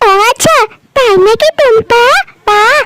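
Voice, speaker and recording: A woman's voice: very high-pitched, slow pace, a bit bright, voice pushed up, full character voice. Woman doing a sly giggling cartoon girl. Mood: scheming. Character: sly young girl, a sneaky friend. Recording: faint background music.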